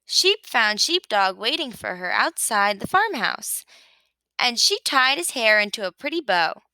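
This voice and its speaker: A woman reading a children's picture book aloud with expressive narration. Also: on the high side, a little slow, dry recording, rough recording. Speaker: storybook reader.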